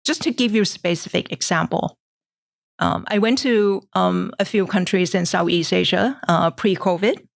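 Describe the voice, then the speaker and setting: A middle-aged woman from Thailand, explaining things in measured podcast answers with frequent ums. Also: fairly low pitch, gravelly, dry recording, clean studio sound. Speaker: guest investor.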